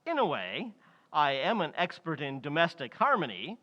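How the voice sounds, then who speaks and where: A man's voice: a little high, wildly swooping pitch, moderate pace, dull audio. A man playing a chipper, salesmanlike announcer in old-time radio comedy style. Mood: chipper, salesy.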